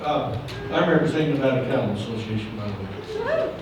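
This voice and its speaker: A man's voice: mid-range voice, wildly swooping pitch, brisk, noisy background. An older man speaking as a panelist at a conference, earnest and courteous in his remarks. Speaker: panelist, cattle association representative. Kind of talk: formal panel introduction. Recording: echoey hall, far from the mic.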